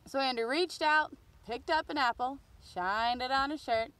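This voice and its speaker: A woman's voice: high-pitched, normal speed, fairly bright tone. A woman reading a picture book aloud expressively for young children. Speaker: children's storybook reader.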